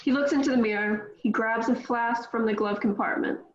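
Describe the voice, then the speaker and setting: A woman with a somewhat high voice reads stage directions in a plain, measured way. The recording is roomy and a bit dull, with a faint hiss underneath. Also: steady tone. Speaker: narrator reading stage directions. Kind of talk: table read narration.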